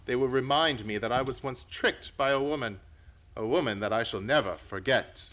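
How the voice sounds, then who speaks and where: An actor playing a self-assured gentleman detective in an old-time radio play, formal, articulate and wry. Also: medium pitch, normal speed, close to an everyday voice. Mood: wistful.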